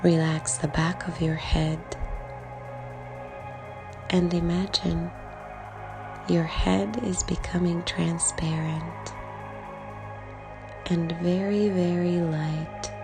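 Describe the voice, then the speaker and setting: A woman leading a guided body scan meditation in a calm, soothing way, speaking in short soft phrases with long pauses and a breathy edge. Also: fairly low pitch. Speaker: meditation guide, life coach. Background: background music.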